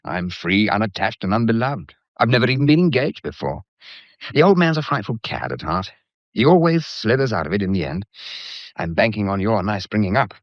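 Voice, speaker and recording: A man plays a nonchalant, teasing suitor from a 1940s mystery drama. His voice sits low and moves in lively swoops through clipped, flippant banter. It is recorded close and dry. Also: fairly quick, no echo, highs cut off. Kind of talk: period radio drama acting.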